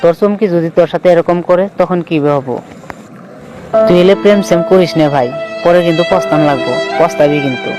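A man's voice: fairly high pitch, fairly quick, over loud music, natural voice. A man voicing a bitter, jilted young man in a cartoon, complaining and admonishing in an eastern Bengali dialect. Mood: cautioning. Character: jilted, bitter young man cautioning his lovesick friend. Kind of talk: cartoon voice acting.

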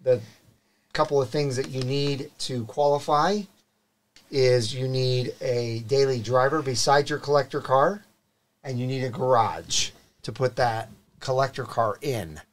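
A man's voice: medium pitch, a little slow. A middle-aged man hosting a live car podcast, greeting viewers and reading sponsor plugs in an upbeat way. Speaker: show host. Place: studio set with desk mics. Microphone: desk mic on boom arm.

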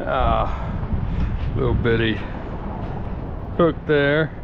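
Man, slow offhand talk, outdoor pier, muffled action-cam sound, loud wind and water behind. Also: mid-range voice, vocal fry. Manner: slow, offhand commentary with dry jokes. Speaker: magnet fishing vlogger. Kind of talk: casual narration while magnet fishing. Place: outdoors on a wooden pier over a river. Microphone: head-mounted action camera.

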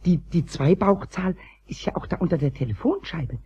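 Raspy squeaky little kobold, childish n flustered, swoopy pitch, stutters, muffled old tape radio play. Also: male voice, normal speed, a little hiss or hum. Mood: busy, pleased. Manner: stammering, repeating words excitedly. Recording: dull old cassette recording.

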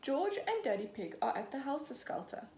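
Animated young woman reading a storybook. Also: sits high. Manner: animated, slow and clear, acting out characters. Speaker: teacher reading to children. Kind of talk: children's storybook read-aloud. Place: small room at home, indoors. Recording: echoey room, faint background hiss.

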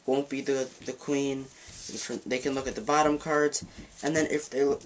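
A man talks through a card trick while handling the deck, casual and a bit rambling, often restarting his sentences. His voice has a middle pitch, and the sound comes from a camera mic above a dark table. Faint noise and card clicks sit behind him. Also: low-quality recording. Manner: rambling, self-correcting, demonstrating while talking. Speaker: magic teacher. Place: dim room at a dark table.

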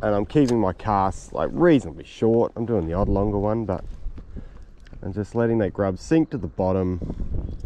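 An Australian man in his thirties talks slowly and easily while wading a sand flat. His voice sits low and warm, and he gushes over each catch in a drawn-out way. Faint outdoor noise is heard behind him. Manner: relaxed, enthusiastic, drawn-out praise. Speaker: fishing show host. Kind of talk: casual outdoor commentary. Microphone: camera-mounted mic, selfie and chest cam.

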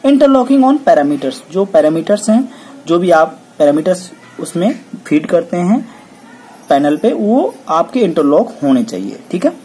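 Mellow-voiced woman teaching a checklist. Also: lowish voice, very animated, measured pace, breathy edge. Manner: repeats "ठीक है" after each point, reads English terms then explains in Hindi. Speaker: welding instructor. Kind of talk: technical lesson explaining a checklist.

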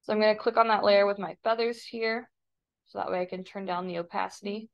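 A woman with a creaky voice, an art teacher casually explaining software step by step in a tutorial voice-over. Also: mid-range voice, level tone, brisk. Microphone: screen-recording voice-over. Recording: dull, lo-fi voice-over.

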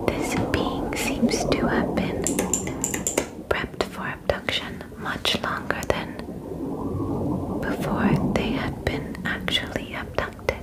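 A young woman voicing a gentle, clinical alien examiner in a breathy ASMR whisper, with halting, formal phrasing. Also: close to an everyday voice. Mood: curious, puzzled. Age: young adult. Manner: slow, halting, formal phrasing without contractions. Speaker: ASMR roleplay performer. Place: small bedroom, indoors. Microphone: close microphone.

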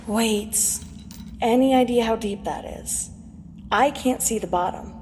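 An actress playing a gentle, careful female spellcaster in a fantasy audio drama. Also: a little high, unhurried, a little hiss or hum, natural voice. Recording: long hall reverb, faint music.

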